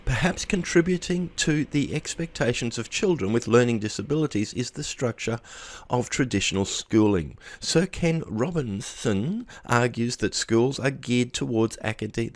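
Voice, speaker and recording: A man's voice: medium pitch, no echo. A man reading a scripted article aloud, sometimes stumbling over a word and rereading it. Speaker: article reader.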